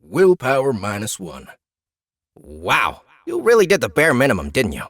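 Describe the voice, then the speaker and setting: Guy doing a snarky game notification voice, disembodied prankster, very animated, teasing. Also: medium pitch, dry recording, studio quality, voice pushed up, exaggerated voice. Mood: mock cheering. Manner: teasing, theatrically drawn-out announcements. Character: mocking disembodied game system announcer, gleeful prankster. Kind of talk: fantasy game notification in audiobook.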